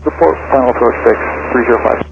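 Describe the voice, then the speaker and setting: A man's voice: mid-range voice, fast talker, vocal fry. A man piloting a small plane, giving clipped readbacks to controllers over an aviation radio. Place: small propeller plane cockpit in flight. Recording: muffled narrowband cockpit audio, engine noise.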